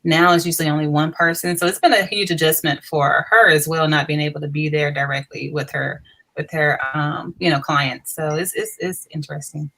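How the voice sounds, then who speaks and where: A woman guest who makes films, chatting in a relaxed way over a video call. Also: sits low, fairly quick, rough recording. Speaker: filmmaker guest. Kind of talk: casual chat.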